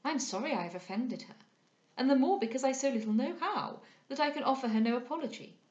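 An earnest young gentlewoman acted by a woman reader with a fairly high voice and courteous, measured phrasing. She belongs to a period novel read aloud in an echoey room. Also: lively intonation, lo-fi sound. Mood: polite, concerned. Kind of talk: dramatised audiobook reading of a period novel.

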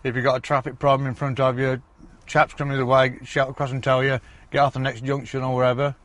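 A man's voice: medium pitch, little pitch movement, quick pace, fairly bright tone, no echo. An English man in his sixties, a truck driver, chatting casually in his cab. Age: 60s. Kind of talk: casual chat.

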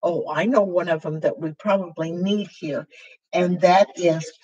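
Older lady teaching signs on webcam, slow and husky, kinda low voice. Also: fairly even intonation, darkish tone, dull audio. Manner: slow, halting, with frequent fillers while signing. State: voice weakened and hoarse after throat intubation. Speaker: sign language teacher. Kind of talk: slow explanatory sign language lesson. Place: small room with dark curtain backdrop, indoors.

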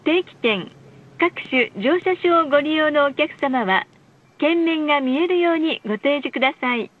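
A woman reading scripted bus stop announcements in a polite, formal, measured way. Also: high voice, animated. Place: recorded announcement played inside a bus. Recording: muffled, lo-fi bus speaker recording.

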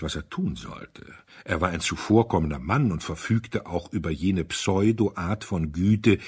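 Low, husky male storyteller. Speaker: audiobook narrator. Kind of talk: scripted literary narration.

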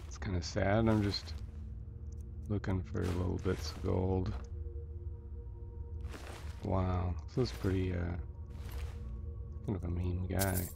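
A man giving casual commentary on his own gameplay, muttering and thinking aloud with halting delivery and mild folksy exclamations. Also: sits low, level tone, gravelly. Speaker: gamer narrating own playthrough. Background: video game music.

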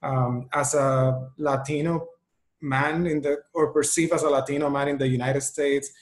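A man from Puerto Rico whose first language is Spanish, answering questions on a webinar panel over a video call, thoughtful and pausing often. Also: mid-range voice, flat delivery. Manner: thoughtful, with frequent ums and restarts.

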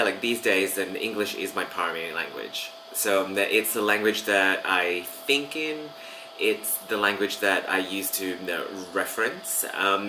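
A man in his thirties with an Australian accent, a language teacher chatting casually to camera with many fillers and pauses, seated in a small room. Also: low voice, noisy background. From Vanuatu. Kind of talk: casual video talk to camera.

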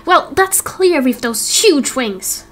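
A woman voicing an excitable, caring young sailor in a game roleplay, speaking earnestly and emphatically. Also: sits high, slow. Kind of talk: Minecraft roleplay voice acting.

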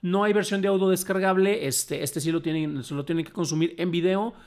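A Mexican man with a high-pitched voice hosts a casual live show. He sounds about thirty-something, commenting in a colloquial, somewhat halting way. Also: darkish tone. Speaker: host. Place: small home room, indoors.

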